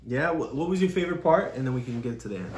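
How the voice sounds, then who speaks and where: A woman with a notably low voice talks a child through a picture book, patiently explaining and circling back to the same phrases. The room around her is echoey. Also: animated, racing, darkish tone. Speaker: parent reading with a child.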